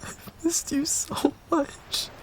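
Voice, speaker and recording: Slow, heartbroken man acting as a pleading ex-boyfriend. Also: very high voice, little pitch movement, slight background noise. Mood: tearful, pleading. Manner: halting, emotional, breathy pleading. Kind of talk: intimate romantic roleplay audio acting.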